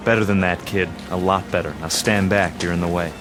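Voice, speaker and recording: A man acting in a radio drama as a gruff, slangy, excitable follower of a fanatic. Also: low-pitched, fairly quick, over music, natural voice. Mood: gruff, eager.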